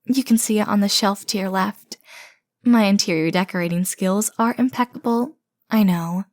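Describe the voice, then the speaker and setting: A woman's voice: medium pitch, normal speed, a little mellow, no echo, studio quality, lightly acted. A woman playing an obsessive, bickering kidnapper sister in a comedic audio roleplay, with a theatrical, boastful and rambling delivery. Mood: smug, boastful.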